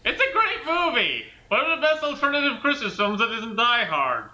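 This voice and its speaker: A man's voice: very high-pitched, big pitch swings, normal speed, sharp tone. An enthusiastic man giving casual, emphatic comic commentary as a co-host. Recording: echoey room.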